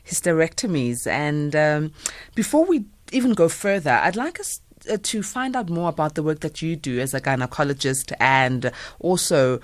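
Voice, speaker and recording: A woman's voice: deep voice, animated, normal speed, dry recording. A woman hosting a late-night radio talk show, introducing a guest and asking questions with frequent fillers. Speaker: radio host. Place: radio studio. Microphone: studio microphone.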